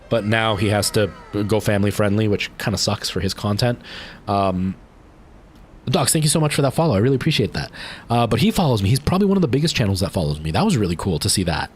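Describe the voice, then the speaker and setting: A young man casually chatting with viewers as he wraps up a game stream, rambling with lots of fillers and restarts and jumping higher when excited. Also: fast, slight background noise.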